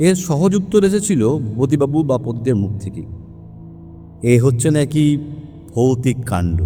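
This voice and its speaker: Man acting as learned detective, animated high voice, reverberant. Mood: reasoning, questioning. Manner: deliberate, emphatic, expository. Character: male private detective, learned, methodical, explaining a supernatural case. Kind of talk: dramatized audio story monologue. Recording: long hall reverb, music underneath.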